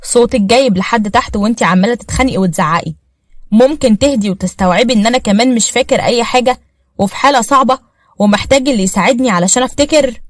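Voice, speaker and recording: A woman's voice: fairly high pitch, rapid-fire, no room sound, studio quality, pitched above the performer's own voice, natural voice. A woman with an Egyptian accent voicing a confused young husband who has lost his memory, hesitant and apologetic. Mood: troubled. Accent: Egyptian Arabic.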